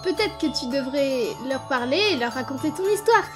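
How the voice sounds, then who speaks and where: Woman voicing an earnest, very high-pitched little boy. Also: expressive, a little fast, music in the background. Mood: pleading. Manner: earnest, pleading. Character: gentle, earnest, hopeful young human boy. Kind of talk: animated comic dub acting.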